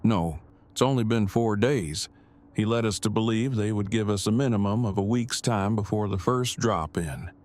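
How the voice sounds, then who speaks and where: An actor playing a cautious, bookish survivor who speaks formally and wordily in an audio drama. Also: male voice, fairly low pitch, darkish tone, lightly acted. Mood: worried. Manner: formal, wordy, measured.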